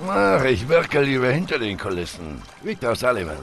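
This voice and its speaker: Creaky, roguish older fortune hunter. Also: male voice, medium pitch, wildly swooping pitch, normal speed. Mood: charming, amused. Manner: sardonic, charming, blunt. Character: older roguish male fortune hunter, gruff, smooth-talking, impatient. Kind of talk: German video game dub, cinematic dialogue.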